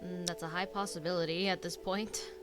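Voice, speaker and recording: A woman's voice: lowish voice, fairly even intonation, fairly quick. A woman streamer giving casual live reactions as she plays a video game. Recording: loud game music behind the voice. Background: video game music.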